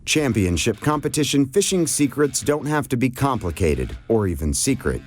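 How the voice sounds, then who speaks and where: A man delivers scripted narration for an outdoors program, steady and measured. His pitch sits in the middle range. Soft music plays faintly behind him.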